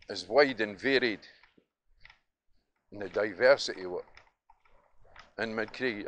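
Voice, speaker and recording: A man's voice: mid-range voice, fairly bright tone. Middle-aged Dundonian man, Scottish accent, emphatic off-the-cuff walking monologue, faint traffic behind him. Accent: Dundee Scottish. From Dundee, Scotland. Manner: reminiscing, opinionated, emphatic, prone to chuckling. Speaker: vlogger. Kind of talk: rambling casual monologue while walking outdoors. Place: outdoors, walking along city streets. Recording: noisy outdoor audio with traffic. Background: passing vehicles, street ambience.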